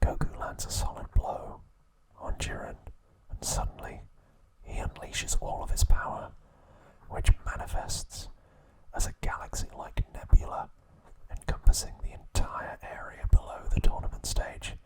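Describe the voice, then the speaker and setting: A man narrating ASMR in a hushed, deliberate whisper, breaking his sentences into short phrases. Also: rough recording. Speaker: ASMR host.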